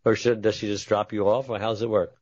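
A man's voice: fairly low pitch, little pitch movement, darkish tone, no room sound. Male host asking stacked questions, mid voice, dry dull sound. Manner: asks questions in halting stacked phrases. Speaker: podcast host. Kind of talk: interview questions.

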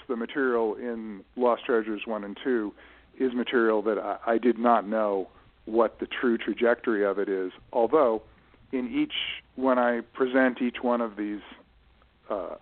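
A man giving thoughtful, explanatory interview answers in a halting way, often restarting and correcting himself as he goes. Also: medium pitch, narrow, tinny audio. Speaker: guest, writer managing his late father's literary estate. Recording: band-limited radio-style voice recording.

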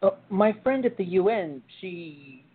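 A woman playing a nervous, halting young person seeking help in a radio play. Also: medium pitch, lively intonation, breathy edge. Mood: hesitant. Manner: halting, unsure. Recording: thin phone-like sound, noisy line.

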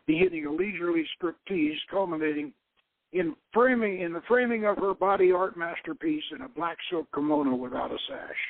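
A man's voice: on the high side, a little fast, dry recording, narrow, tinny audio. A man reading a novel aloud in a dramatic narrating style, sometimes stumbling and repeating a phrase. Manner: stumbles over words and rereads phrases. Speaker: host reading his own novel aloud.

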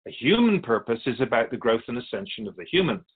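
A man playing a patient, wise, otherworldly Keeper of Souls who teaches in a measured, philosophical way in a radio drama. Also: mid-range voice, very animated, husky, phone-quality sound. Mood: patient, instructive. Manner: measured, philosophical, gently instructive. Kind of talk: scripted radio drama dialogue.

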